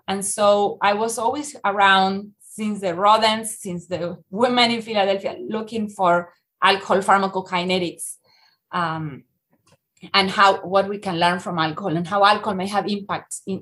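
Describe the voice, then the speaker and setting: A woman's voice: mid-range voice, fairly even intonation, fairly bright tone. A middle-aged woman from Argentina with an Argentine Spanish accent, a researcher talking in a podcast interview, often restarting and filling with "uh". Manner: halting, with many restarts and fillers. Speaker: researcher guest.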